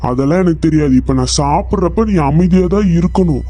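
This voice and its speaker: A man's voice: on the high side, brisk, warm tone, no room sound, lightly acted. A man voicing a short-tempered husband in a cartoon story who scolds and repeats himself, with music playing behind. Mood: irritated. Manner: scolding, irritable, repetitive. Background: background music.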